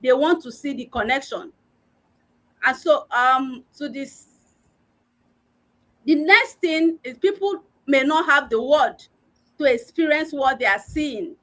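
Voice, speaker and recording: A woman's voice: high voice, takes it slowly, long pauses, fairly bright tone, rough recording. A woman giving an earnest, personal talk as a panelist on a live online discussion, with frequent filler words. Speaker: learning and development head.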